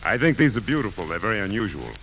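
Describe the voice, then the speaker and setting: A man's voice: on the low side, very animated, very fast, fairly bright tone, slight background noise. An actor playing a polite, erudite salesman with formal, precise speech in vintage radio comedy style on an old recording. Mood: courteous, prim. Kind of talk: 1940s-50s radio comedy acting.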